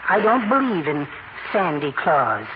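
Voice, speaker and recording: An actor playing a timid, dainty little amateur poet, mild, polite and precious, in an old radio comedy. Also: male voice, fairly high pitch, sing-song, unhurried. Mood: shyly proud. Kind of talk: 1940s radio comedy acting. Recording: muffled, noisy old radio recording.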